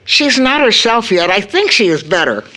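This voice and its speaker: A woman's voice: mid-range voice, sing-song, moderate pace, sharp tone. An actress playing a worried, tender middle-aged mother in an audio drama. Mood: troubled, recounting.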